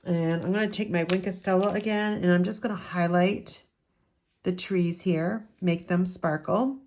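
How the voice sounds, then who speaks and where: A woman with a slightly low, raspy voice, still getting over a head cold. She talks casually and explains each step of a craft tutorial as she works. She is from the United States. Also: fairly even intonation, normal speed, darkish tone, a little hiss or hum. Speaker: card-making instructor. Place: home craft room with open windows.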